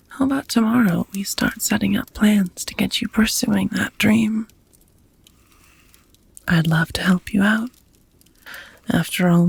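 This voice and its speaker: Woman acting as a doting female vampire, sultry and possessive, very breathy whisper, close dry sound, intimate ASMR romance. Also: medium pitch, a little mellow, dry recording, heavily acted. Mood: tender, encouraging. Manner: slow, sultry, formal wording with pet names.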